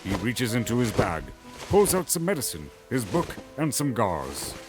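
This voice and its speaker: A man reads scripted fantasy narration. His pitch is average but moves a great deal, giving the story an animated shape. Faint music plays behind him. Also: moderate pace.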